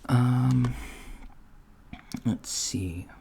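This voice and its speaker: A man playing an awkward, apologetic novice alien job interviewer in a soft, hesitant ASMR roleplay. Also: mid-range voice, creaky, voice pushed up. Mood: polite, hesitant. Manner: hesitant, halting, mumbling asides. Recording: lo-fi, steady noise, keyboard typing. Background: computer keyboard typing.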